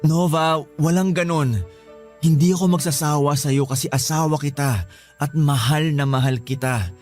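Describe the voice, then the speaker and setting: An actor playing a tired young husband who pleads and gets flustered in a radio drama, with faint music behind. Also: male voice, fairly high pitch, steady tone, moderate pace, darkish tone, natural voice. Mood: earnest. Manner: weary, pleading, flustered when pressed. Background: background music.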